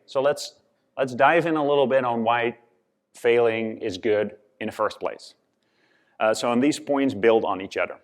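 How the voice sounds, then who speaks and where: A man from the Netherlands with a Dutch accent, giving a conference presentation with many fillers and restarts. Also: medium pitch. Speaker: conference speaker.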